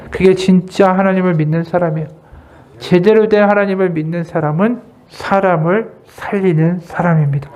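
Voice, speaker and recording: A man preaching at a Sunday service in a high voice. He speaks earnestly, as a pastor urging his congregation, and sets out his message in measured, short phrases. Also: darkish tone. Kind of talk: Sunday sermon with read-aloud testimony and prayer.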